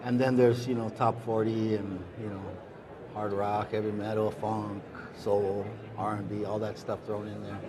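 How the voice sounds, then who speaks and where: A middle-aged man from Hawaii, a musician, answering interview questions in a relaxed, rambling way with many pauses and restarts. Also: fairly low pitch. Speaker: musician guest. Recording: busy crowd noise behind.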